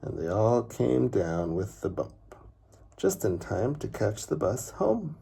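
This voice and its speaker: A man reading a children's story aloud in an expressive, unhurried way with dramatic pauses and a husky, gravelly voice. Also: lowish voice. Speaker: father reading a bedtime story.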